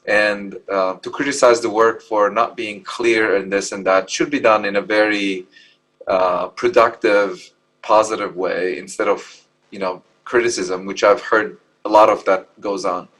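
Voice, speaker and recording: A man from Lebanon who is not an English speaker, talking in a reflective, measured way as a scientist on a panel. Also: on the low side, steady tone, a bit bright, rough recording. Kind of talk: thoughtful panel discussion. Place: live panel event in a hall.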